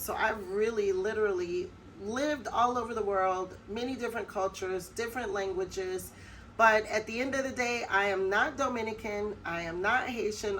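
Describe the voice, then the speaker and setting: A woman's voice: medium pitch, a bit bright, low-quality recording. Middle-aged american lady chatting to camera, friendly and personal, bit of faint noise in the background. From United States. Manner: chatty, personal, with quick laughs. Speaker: vlogger. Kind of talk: casual talk to camera.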